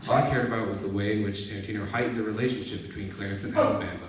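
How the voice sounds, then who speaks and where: A man's voice: lowish voice, steady tone, racing. A man in his thirties reading his own essay aloud to a gathering, with informal spoken asides. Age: 30s. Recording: very echoey room, distant mic, faint noise.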